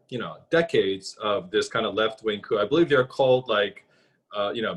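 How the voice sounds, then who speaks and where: A man's voice: mid-range voice, fairly bright tone, slightly rough. A man moderating a panel discussion, musing aloud and hedging with many fillers as he asks questions.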